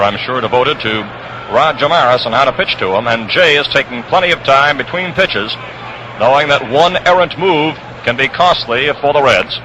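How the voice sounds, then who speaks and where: An American man calling baseball play-by-play on 1960s radio, measured, with suspenseful pauses, on a noisy old broadcast recording. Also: medium pitch, lo-fi sound. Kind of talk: 1960s radio sports commentary. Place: ballpark broadcast position. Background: crowd hum, noise.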